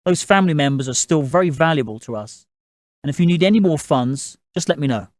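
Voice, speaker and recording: Man voicing an assertive gentlemanly father, higher-pitched. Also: lively intonation, a little fast, dry recording, clean studio sound, natural voice. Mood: courteous, assured. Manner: polite, commanding, businesslike. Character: assertive gentlemanly father, a shrewd, moneyed family patriarch. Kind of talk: audiobook character dialogue.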